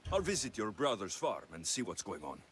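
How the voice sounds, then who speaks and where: An actor playing a plain-spoken heroic mercenary in a video game, with a direct, questioning manner. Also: male voice, on the low side, huge pitch swings, fairly quick, fairly bright tone, slight background noise. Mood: calm, wry. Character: heroic Greek mercenary, plain-spoken, helpful, quick to exasperation. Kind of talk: video game cutscene acting.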